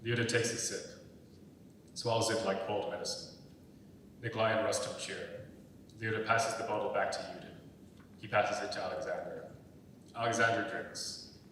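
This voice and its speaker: A man narrates stage directions for a dramatic reading. His voice is on the low side and comes from a distance, with heavy echo and faint noise in a large hall. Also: steady tone, moderate pace, long pauses, sharp tone. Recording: echoey hall, far from mic, faint noise.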